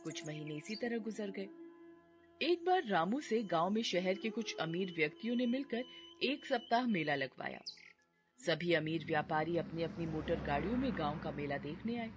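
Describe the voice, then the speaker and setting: A woman's voice: fairly low pitch, animated, normal speed, soft background music. A woman narrating a cartoon story in a scripted storytelling manner. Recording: noisy background.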